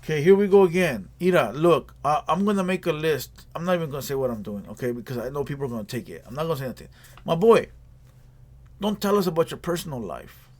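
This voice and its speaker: A man's voice: a little high, lively intonation, fast talker, darkish tone. A man hosting a reaction video, giving casual commentary in a blunt, slangy, repetitive lecturing way.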